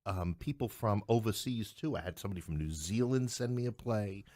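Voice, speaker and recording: A middle-aged man speaks in a low voice, recorded close and dry. He talks conversationally as an interview guest, slipping in wry, joking asides as he explains things. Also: lively intonation, fairly quick, darkish tone, dry recording. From New York City. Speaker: playwright and podcast guest.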